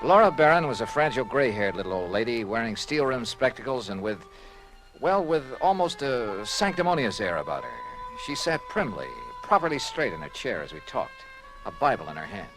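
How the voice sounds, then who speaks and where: An American actor playing a courteous, probing private investigator in a 1950s radio detective drama, middle-aged and a little hesitant. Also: male voice, medium pitch, sing-song, brisk, music in the background, lightly acted, low-quality recording. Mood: wry, reflective. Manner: hesitant, polite, patiently probing. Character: hard-boiled but courteous male private investigator. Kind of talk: 1950s radio detective acting, first-person narration.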